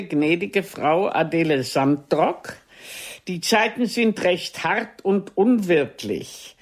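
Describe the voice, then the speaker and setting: A woman playing a proud, bitter, sharp-tongued aging stage actress in a radio drama, with a haughty, theatrical delivery. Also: medium pitch. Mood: cold, formal reading. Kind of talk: radio drama dialogue acting.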